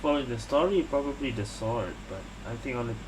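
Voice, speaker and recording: A woman's voice: very deep voice, lively intonation, fast. A woman giving casual game commentary, muttering reactions and thinking aloud as she plays. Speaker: gamer playing and reacting. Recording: noisy home mic with hum.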